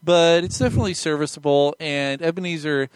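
A man's voice: a little high, fairly even intonation, a little fast, clean tone, no echo, low-quality recording. A young man hosting a casual podcast, joking and laughing often with his guests. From United States. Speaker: podcast host.

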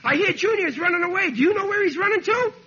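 Squeaky little kid voice, wheedling n clumsy, dull old radio comedy sound. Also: male voice, expressive, bright, forceful tone, full character voice. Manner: childlike, halting, wheedling. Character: squeaky fake little boy, clumsily insistent. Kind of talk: 1940s-50s radio comedy acting.

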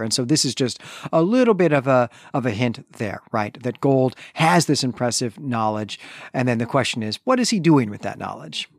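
A man's voice: medium pitch, no room sound, studio quality. A man co-hosting a podcast, giving a conversational literary recap in an explanatory manner, checking his points with rhetorical questions. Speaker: podcast co-host.

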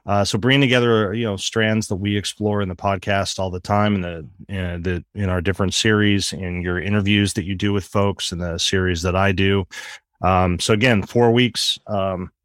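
A middle-aged man with a very low voice co-hosts a casual podcast, tired and recovering from an illness. He talks earnestly, circling back with fillers and restarts. The sound is dry and close, with no room in it. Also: steady tone, moderate pace, a bit bright, no echo. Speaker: podcast co-host, religion professor.

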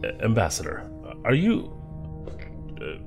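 A reserved, weary federal agent in a sci-fi audio drama. His low voice stays terse and dry yet moves expressively in pitch, with music underneath. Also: wildly swooping pitch, a little slow, vocal fry, heavily acted. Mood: uneasy. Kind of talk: science fiction audio drama acting.

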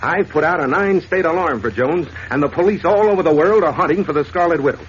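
Guy acting a brisk confident police inspector, 1940s radio, dull sound w faint hiss. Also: fairly high pitch, lightly acted. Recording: muffled, hissy old radio recording.

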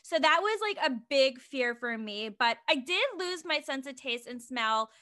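Bright, high-voiced giggly woman host. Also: expressive, unhurried. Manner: giggly and chatty, many "like" fillers. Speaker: podcast host. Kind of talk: casual podcast chat.

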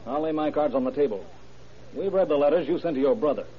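An actor playing a no-nonsense police detective, blunt and businesslike, in an old radio crime drama. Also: male voice, fairly high pitch, lively intonation, fast, lightly acted. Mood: pressing, accusing. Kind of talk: 1930s-40s radio crime drama acting. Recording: muffled old radio broadcast.

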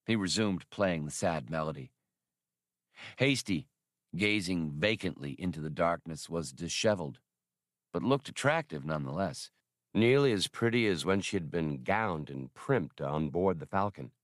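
A man's voice: deep voice, expressive, moderate pace, no echo, studio quality. A man narrating a fiction audiobook in a scripted storytelling style.

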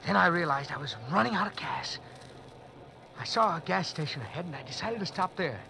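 A man's voice: on the high side, lively intonation, a little fast, creaky, pushed and bright, a little hiss or hum, low-quality recording. A man narrating in the first person for a 1940s radio drama, tense and nervous. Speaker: narrator and lead character.

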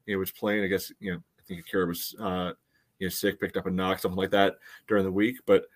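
A man with a fairly low voice talks through sports analysis as a podcast co-host. He is measured and conversational, often padding his points with "you know.". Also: little pitch movement. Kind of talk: casual soccer analysis.

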